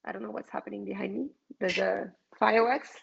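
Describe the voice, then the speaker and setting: A woman with a French accent speaks bluntly and passionately in a mid-range voice, often pausing on ums. Her answers come over a video call with slightly muffled audio. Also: mid-range voice, steady tone, vocal fry, breathy edge. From France. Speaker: activist guest. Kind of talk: casual interview answers.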